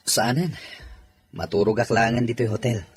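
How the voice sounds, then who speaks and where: A man's voice: mid-range voice, steady tone, normal speed, soft background music, natural voice. A man with an Ilocano accent acting a reserved, lonely young father in an audio drama. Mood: friendly.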